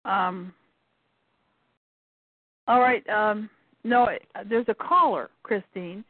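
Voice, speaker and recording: A woman's voice: medium pitch, very slow, dry recording. A woman hosting a call-in radio show, asking questions and introducing callers conversationally. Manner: conversational, brief prompts. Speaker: radio host. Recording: tinny phone-band audio.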